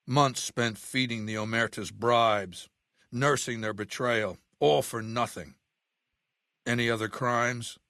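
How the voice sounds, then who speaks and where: A man's voice: fairly low pitch, fairly bright tone, dry recording, studio quality, heavily acted. An older man playing an imperious, ruthless warlord in a video game, speaking with cold, commanding, formal diction. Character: imperious, ruthless ageing male warlord commanding a slaver legion. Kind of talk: video game character acting.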